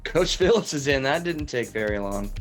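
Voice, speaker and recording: A man's voice: mid-range voice, lively intonation, soft background music, natural voice. A man playing an upbeat, teasing parody game show host who stretches out questions with theatrical emphasis. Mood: showy, teasing. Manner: repeats questions with drawn-out emphasis.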